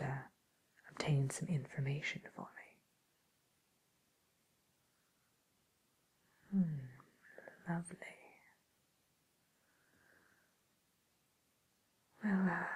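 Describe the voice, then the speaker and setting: A woman acts out a sly, nervous witch in an ASMR roleplay. The voice is a low, very breathy whisper with a creaky edge, musing and hesitant as it softly threatens and gossips. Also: exaggerated voice. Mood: sly, bargaining. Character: mildly menacing, nervous, gossipy witch catching a burglar.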